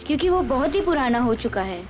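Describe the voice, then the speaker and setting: A woman's voice: high-pitched, very animated, brisk, faint music behind. A woman voicing a young female superhero captain in a dubbed cartoon, explaining things in an instructive, guiding way. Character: young female superhero team captain, brisk tour guide. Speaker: cartoon voice actor. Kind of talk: animated cartoon dub.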